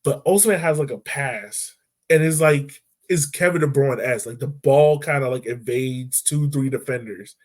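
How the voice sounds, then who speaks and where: A man co-hosting a podcast, talking football in a loose, animated way with lots of fillers and restarts. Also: mid-range voice, moderate pace. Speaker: podcast co-host. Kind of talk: casual sports chat.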